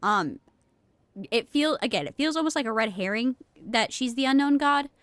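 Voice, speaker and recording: A woman's voice: fairly high pitch, expressive, normal speed, a bit bright, no room sound. A woman who is a little sick with a cold, chatting casually as a game streamer, rambling, restarting her sentences and easily amused. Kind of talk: casual live game stream commentary, reading dialogue aloud. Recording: game music behind the voice. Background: game music.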